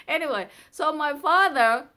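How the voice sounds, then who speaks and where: A woman's voice: high voice, bright, forceful tone. A woman with a Vietnamese accent, a native Vietnamese speaker, casually reading a poem with playful, chatty asides. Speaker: presenter reading a translated poem.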